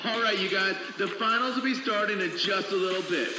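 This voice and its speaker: An actor playing an excitable young American street-race MC, slangy and hyped, announcing an event. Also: male voice, high-pitched, fairly quick, far from the mic, noisy background, natural voice. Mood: excited. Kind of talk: hyped street-racing event commentary over PA. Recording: echoey PA from afar, loud music behind.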